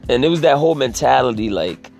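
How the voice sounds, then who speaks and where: Raspy, gravelly man rambling casually. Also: medium pitch, lively intonation, fast, a little mellow, faint music behind. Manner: profane, filler-heavy, restarts sentences.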